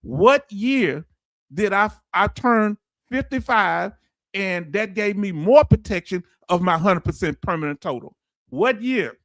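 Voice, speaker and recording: A man's voice: sits high, expressive, a little slow, fairly bright tone, no echo. A man who teaches a livestream audience in loose, folksy talk and quizzes viewers with repeated questions. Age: 50s. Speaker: veteran benefits streamer. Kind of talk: livestream lesson answering chat.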